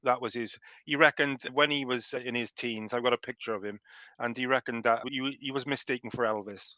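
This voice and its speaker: Bright-voiced Welshman in his fifties. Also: medium pitch, steady tone, brisk, dry recording, muffled recording. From South Wales. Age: 50s. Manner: chatty storyteller, frequent restarts and chuckles. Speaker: guest. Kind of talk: casual remote interview.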